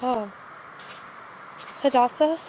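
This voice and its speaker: An actress playing an exhausted, frightened young girl in the desert in an audio drama, speaking in halting, plaintive phrases. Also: high-pitched, dark tone, a little hiss or hum, rough recording. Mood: weak, dazed. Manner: halting, faltering, plaintive speech. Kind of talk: cinematic audio drama acting.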